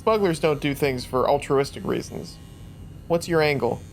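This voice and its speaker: A man playing a tabletop roleplaying game, improvising casual dialogue in a blunt, questioning, informal way. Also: normal speed. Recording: faint rumble and background noise.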